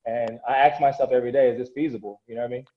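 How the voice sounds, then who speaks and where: Earnest motivational coach, lo-fi sound. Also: male voice, mid-range voice, fairly even intonation, racing. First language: Korean. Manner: earnest, motivational, rambling with restarts. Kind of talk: casual interview talk.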